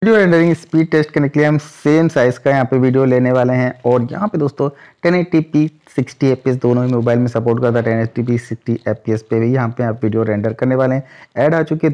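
A man from India giving a brisk hands-on commentary comparing two phones, often calling out to his viewers. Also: medium pitch, dark tone. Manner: brisk, repeats the audience address often. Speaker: tech reviewer.

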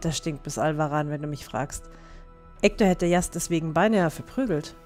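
A blunt young female adventurer from a fantasy audio drama, played by a woman. Her voice is fairly low and dry, with faint music behind. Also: moderate pace, a little mellow, natural voice. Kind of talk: fan audio drama acting.

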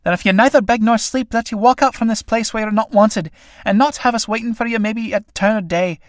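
A woman reading a play aloud and voicing a quick-tempered young tinker woman who speaks loudly and commandingly. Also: high voice, no room sound, studio quality, voice pushed up, close to an everyday voice. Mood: fierce. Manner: forceful, angry, commanding.

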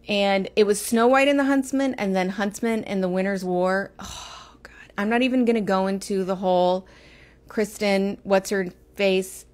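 Chatty Texan woman livestreaming. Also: medium pitch. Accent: Texas, with Midwestern turns. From Texas, United States. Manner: chatty, rambling, quick asides to viewers. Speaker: livestream host. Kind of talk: casual livestream chat. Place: small room indoors. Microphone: earphone headset mic, phone camera close.

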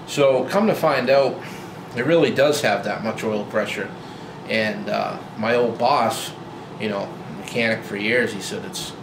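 A man in his forties talking casually to camera about his old truck, relaxed and rambling with lots of fillers and restarts, filmed in a garage. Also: on the low side, unhurried, creaky. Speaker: vlogger. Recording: roomy garage with loud hum.

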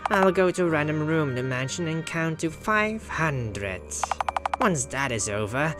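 Menacing crow-masked game villain, acted by a woman, formal, slow, swooping intonation, music behind. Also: medium pitch, expressive, natural voice. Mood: matter-of-fact menace. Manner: formal, measured, coldly polite. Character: menacing masked raven-headed killer, calm game master of murder. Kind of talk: visual novel villain voice acting.

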